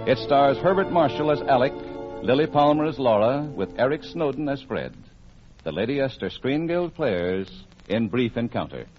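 A man's voice: mid-range voice, animated, moderate pace. A man announcing and narrating in a formal, polished 1940s radio style, reading from a script. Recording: dull vintage radio broadcast recording. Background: loud music.

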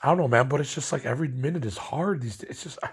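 A man's voice: mid-range voice, very fast, slightly rough. A young man from Illinois, stoned and ranting in a meandering, casual way, filling his talk with "like" and trailing off mid-thought. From Illinois, USA. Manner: meandering, filler-heavy, lots of "like". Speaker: vlogger. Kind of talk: rambling late-night rant.

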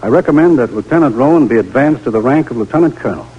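An actor playing a formal, approving American army major in a 1940s radio drama, on an old radio recording. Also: male voice, mid-range voice, expressive, rapid-fire, breathy edge, lo-fi sound.

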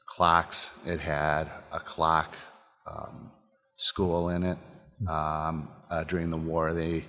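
A man from Illinois, a distillery co-owner, answers questions in a halting way with many ums, trailing off softly. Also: extremely low pitch, in a large hall. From Illinois, USA. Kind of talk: casual interview answers. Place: distillery building interior.

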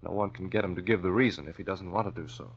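An actor playing a shrewd, resolute masked vigilante in 1940s radio style, terse and commanding. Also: male voice, sits low, fast, husky. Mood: pondering, resolute. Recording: muffled old radio recording.

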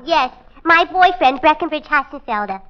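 A naive young lady unlucky in love, earnest and plaintive in a high, sharp voice. The recording is muffled, like vintage radio comedy. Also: expressive, normal speed, some chatter behind. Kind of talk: 1940s radio comedy acting.

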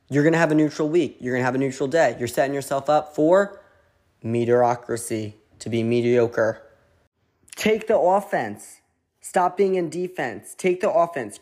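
A man in his thirties from Connecticut with a Connecticut accent, hosting a motivational podcast in an animated, emphatic monologue that now and then includes swearing. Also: a little high. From Connecticut, USA. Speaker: podcast host.

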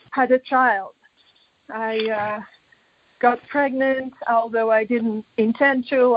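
Slow, high-voiced woman reflecting. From Poland. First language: Polish. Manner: halting, reflective, searching for words. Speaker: interview guest, artist and author. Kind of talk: personal life story in an interview. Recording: muffled, echoey room recording.

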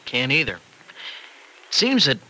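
An actor playing a friendly, earnest young farmer in an old-time radio soap, with a folksy, informal manner. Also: male voice, medium pitch, huge pitch swings, slow, sharp tone, exaggerated voice. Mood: friendly, eager. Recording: noisy vintage radio recording.

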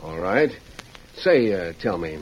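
An actor playing a plainspoken frontier sheriff with a rustic American drawl, in old-time radio Western style. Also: male voice, sits low, big pitch swings, slow pace, husky, heavily acted. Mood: puzzled, cooperative. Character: Western frontier town sheriff, plainspoken, folksy, steady male lawman. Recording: muffled, noisy vintage radio recording.

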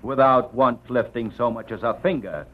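An actor playing an aggressive, eloquent prosecutor in an old radio drama, crisp and oratorical with dramatic pauses. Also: male voice, medium pitch, lightly acted. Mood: accusing, scornful. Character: aggressive, eloquent male assistant district attorney in court. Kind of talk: old radio drama acting, courtroom speech. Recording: dull vintage radio drama recording.

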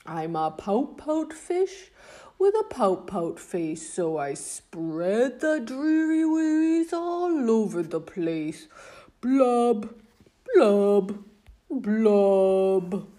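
A woman's voice: sing-song, drawn-out words. A woman doing a glum pouting cartoon fish. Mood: glum, sing-song. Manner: mopey sing-song refrain, loud booming blubs. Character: glum gloomy male fish with a pout.

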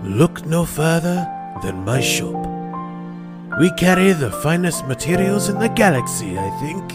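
A man plays an alien shopkeeper selling wedding dresses in a spoof radio advert. His pitch swings around as he makes slow, salesmanlike promises, all under loud new-age music. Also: sing-song. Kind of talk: parody radio advert read in character.

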